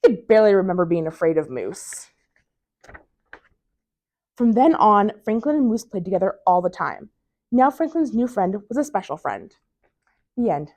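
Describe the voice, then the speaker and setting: A woman reading a children's picture book aloud as a library storytime reader, with expressive storytelling. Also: mid-range voice, normal speed, pauses often.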